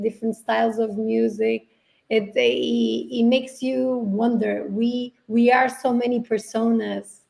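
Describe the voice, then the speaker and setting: A woman whose first language is Portuguese, speaking as a guest over a video call. She has a gravelly voice and a reflective manner, often restarting as she searches for words. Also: mellow. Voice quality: gravelly low-toned voice. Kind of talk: casual conversational interview.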